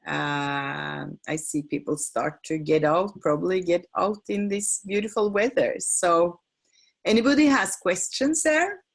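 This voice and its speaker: A woman with a fairly low voice hosts a live online class from home, speaking English as a second language. She takes her time, pausing often on fillers and restarting her sentences, and signs off in a friendly way. Her voice comes through a computer mic on the call. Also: creaky. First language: not English. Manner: halting, with many fillers and self-corrections. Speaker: feng shui and decluttering teacher hosting a webinar. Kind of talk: live webinar sales pitch and Q&A. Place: home on a small island.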